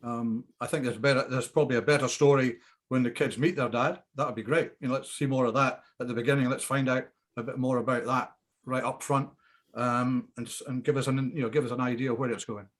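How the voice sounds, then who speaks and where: Mid-pitched man giving plain-spoken critique. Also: fairly even intonation, a little fast. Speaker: script panellist.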